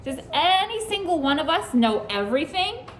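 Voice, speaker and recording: A woman teacher reads a picture book aloud to young children, pausing to ask them questions and praise their answers. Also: high voice, big pitch swings, crowd noise. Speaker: preschool teacher.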